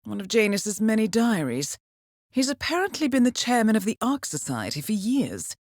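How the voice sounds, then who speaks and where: A woman playing a cool, composed intelligence handler in a video game, giving mission briefings in a measured, formal, dryly witty manner. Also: a little high, big pitch swings, a little mellow, no echo, studio quality. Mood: measured, informative.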